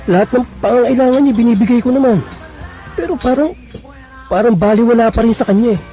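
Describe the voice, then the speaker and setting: A man's voice: very high voice, quick pace. A man acting a lovelorn, long-suffering husband in a Filipino radio drama, plaintive and hesitant in delivery. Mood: hurt, frustrated. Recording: dull lo-fi radio recording with music.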